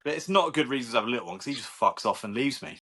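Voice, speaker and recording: A man's voice: medium pitch, racing, husky. A man, joking around as a podcast co-host in casual chat. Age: 40s. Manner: joking, animated, swearing.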